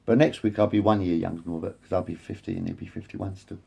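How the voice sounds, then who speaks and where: Gravelly raspy guy almost fifty, talking into his phone, keeps circling back and correcting himself. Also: deep voice, fairly even intonation, fast talker, dark tone. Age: 40s. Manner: repetitive, meandering, self-correcting. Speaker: vlogger. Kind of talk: rambling casual monologue to camera. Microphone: phone front camera.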